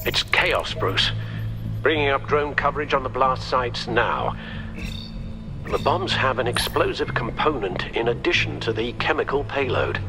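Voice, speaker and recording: A man's voice: medium pitch, animated, a little slow, music in the background. An actor playing a loyal older male butler and aide in a video game, concerned and formal. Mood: grave report. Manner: anxious, formal.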